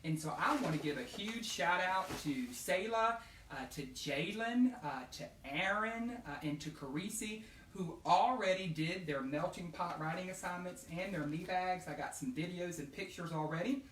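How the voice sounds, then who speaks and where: A man's voice: sits high, moderate pace, a bit bright, a little hiss or hum. A man speaking as an educator to children, encouraging them and asking questions that he answers himself. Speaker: educator hosting children's read-alouds. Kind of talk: read-aloud and talk addressed to children. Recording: roomy echo, distant microphone.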